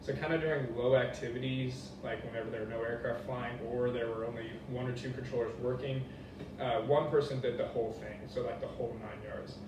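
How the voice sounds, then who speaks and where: A man's voice: medium pitch, fairly even intonation, brisk, noisy background. Distant young man in a very echoey room. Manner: casual, colloquial. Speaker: student presenter. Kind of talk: informal class presentation. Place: echoey indoor room, presenting to a class. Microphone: distant room microphone.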